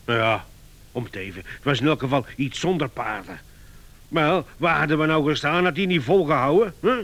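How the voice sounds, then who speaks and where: An actor playing an earnest, flustered visitor in a radio comedy, hesitant and stammering yet insistent. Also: male voice, on the high side, moderate pace, fairly bright tone, close to an everyday voice. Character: Sam, earnest flustered visitor defending his inventor friend. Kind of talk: radio comedy drama acting.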